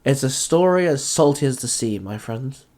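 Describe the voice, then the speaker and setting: An actor voicing a wry, knowing pirate first mate in a suave, theatrical way for an audio drama. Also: male voice, medium pitch, lively intonation, moderate pace, a little mellow, lightly acted.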